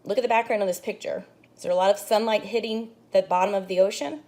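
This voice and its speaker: A woman's voice: mid-range voice, fast. A woman teacher reading a children's book aloud, explaining pages to young students as she goes. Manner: expressive storybook reading with asides to kids. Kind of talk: children's read-aloud lesson.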